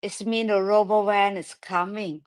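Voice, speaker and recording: A woman with a thick Thai accent hosting a live audio chat, speaking broken English in an animated, excitable way. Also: medium pitch, a little slow, smooth, dry recording. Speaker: host.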